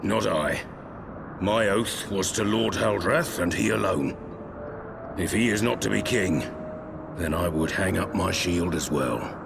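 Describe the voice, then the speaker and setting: A man playing a gruff, steadfast knight in a fantasy game, blunt and firm in archaic phrasing. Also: deep voice, lively intonation, a little slow, music in the background, heavily acted. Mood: defiant. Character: gruff, unbending knight sworn to one lord.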